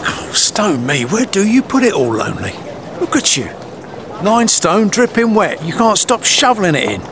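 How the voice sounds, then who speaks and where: An actor playing a weary, sardonic working-class Londoner, blunt and dryly teasing, in audio drama. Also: male voice, sits high, very animated, moderate pace, over music. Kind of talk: naturalistic audio drama acting. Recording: loud street and traffic noise.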